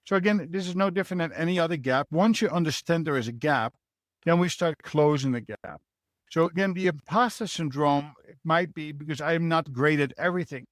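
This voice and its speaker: A man's voice: on the high side, brisk, no room sound, studio quality. A man with a Dutch accent answering interview questions as a leadership mentor, explaining earnestly and often adding a rhetorical "right?". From Netherlands.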